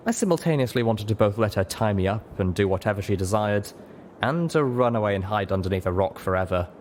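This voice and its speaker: A man with a fairly low voice narrates a fantasy adventure as an audiobook. His dramatic reading moves through a very animated range of pitch, recorded with audible background noise. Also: fairly quick. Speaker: audiobook reader. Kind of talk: dramatic scripted story narration.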